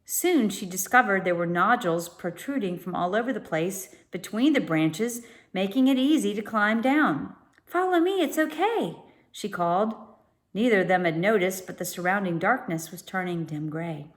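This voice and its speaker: A woman narrating a children's storybook as a teacher would, pausing to explain words to listeners. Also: mid-range voice. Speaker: teacher reading aloud.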